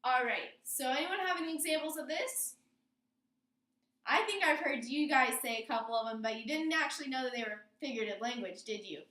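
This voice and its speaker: A woman teacher explaining a lesson to her pupils, often pausing to check in with questions. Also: high voice, lively intonation, sharp tone. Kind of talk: classroom lesson on figurative language. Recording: roomy echo, distant microphone.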